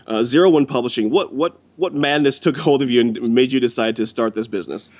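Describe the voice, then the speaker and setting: An upbeat man hosting a podcast panel, introducing his guests and steering the talk. His voice sits at an ordinary middle pitch. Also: a little fast, a little mellow. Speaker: podcast host.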